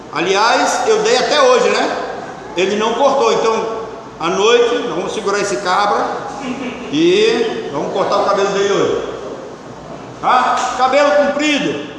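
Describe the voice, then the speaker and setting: A high-voiced pastor gives a conversational sermon, often pausing to check in with his listeners. His voice is picked up from a distance and rings around a large, echoing church hall with long reverb. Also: fairly bright tone, slight background noise. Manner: conversational preaching, frequent "tá?" checks. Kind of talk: sermon teaching. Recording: echoey church hall from far off.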